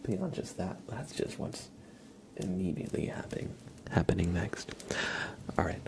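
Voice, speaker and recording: A man softly whispering in a breathy, reassuring way, chatting casually with viewers on an ASMR livestream. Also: low voice, big pitch swings, normal speed. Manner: soft-spoken, reassuring. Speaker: ASMR live streamer.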